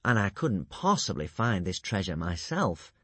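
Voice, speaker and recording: A man voicing a sly male schemer who poses as a poor but honest farmer, smooth and crafty. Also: low voice, very animated, moderate pace, a bit bright, no echo, full character voice.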